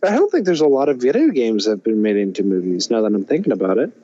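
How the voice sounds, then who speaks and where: A man's voice: lowish voice, big pitch swings, quick pace, mellow, slightly rough, dry recording, lo-fi sound. A man co-hosting a podcast in casual chat, often hesitant and thinking aloud. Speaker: podcast co-host.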